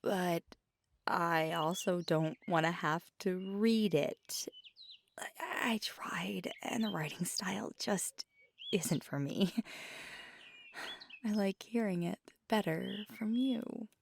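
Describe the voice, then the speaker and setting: A woman acts as a feisty young magical girlfriend in an intimate ASMR roleplay. She speaks slowly and casually, affectionate and teasing, often sinking into a breathy murmur. Birdsong and outdoor noise sit clearly behind her voice. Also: fairly low pitch. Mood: confiding, amused. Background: birdsong and outdoor ambience.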